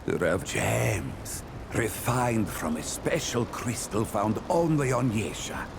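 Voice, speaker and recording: A wise otherworldly trader for a video game. He talks slowly and solemnly in archaic, storytelling phrases, with a deep voice that rises and falls widely. Ambient noise sits behind him. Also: very animated, vocal fry, heavily acted. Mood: solemn, lamenting. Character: male Pan trader from a jungle world, solemn and wise. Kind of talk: video game character monologue.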